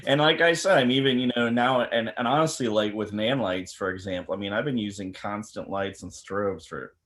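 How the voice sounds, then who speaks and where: A man's voice: lowish voice, a little fast, a bit bright. A man talking casually as an expert on a panel, blunt and rambling, often repeating words mid-sentence. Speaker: camera company representative on a panel. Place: online video meeting from a room. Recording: clearly noisy video-call audio.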